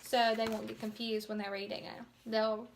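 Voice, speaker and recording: A woman's voice: fairly high pitch, little pitch movement, moderate pace, slight background noise. A young woman giving an informal instructional presentation as a student teacher, explaining things a little hesitantly.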